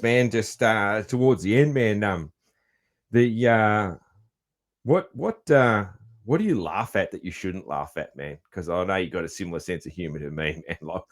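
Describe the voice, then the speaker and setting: A man hosting a podcast interview, laid-back and loud-voiced, laughing easily and calling people "man". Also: sits low. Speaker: podcast host. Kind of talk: casual interview chat.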